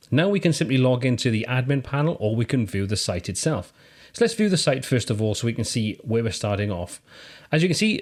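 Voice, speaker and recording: A man from the UK hosting a screen-recorded tutorial, calmly walking through software steps in a friendly, explanatory way. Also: medium pitch. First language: English. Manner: friendly step-by-step explaining, lively intonation. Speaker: tutorial host.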